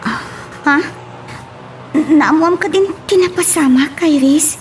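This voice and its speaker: A woman's voice: high-pitched, slow, very noisy. A woman playing a troubled, pleading young student in an Ilocano radio drama, with a Filipino Ilocano accent.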